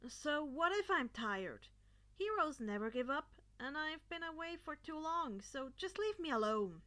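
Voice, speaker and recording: Woman voicing higher-pitched, wounded teenage boy vigilante. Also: big pitch swings, unhurried, bright, forceful tone, exaggerated voice. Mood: defiant, annoyed. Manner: stammering, emotional, defensive.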